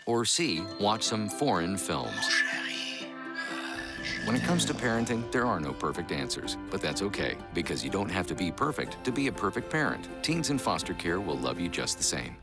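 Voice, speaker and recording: A man's voice: deep voice, big pitch swings, moderate pace, gravelly, loud music behind the voice. A man narrating a scripted public service ad as the announcer. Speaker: commercial announcer.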